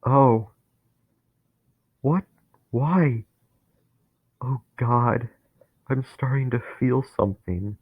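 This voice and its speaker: A man voicing a dying young man who is terrified and bitter, talking in a strained, pleading way that rises to anguished shouts. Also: fairly low pitch, steady tone, slow, creaky, long pauses, dry recording, heavily acted. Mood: growing dread. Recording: tinny phone-like, dry sound.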